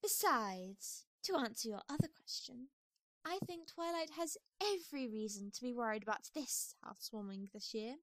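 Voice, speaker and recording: A woman's voice: a little high, lively intonation, moderate pace, a bit bright, dry recording. A woman playing a refined, gossipy female pony who frets over her friend in an amateur audio drama. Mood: confiding.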